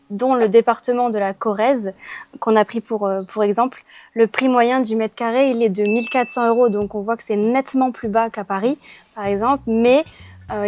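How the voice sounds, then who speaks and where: A woman hosting a conversational discussion for language learners, speaking in a clear, measured way. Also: mid-range voice, darkish tone. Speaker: host.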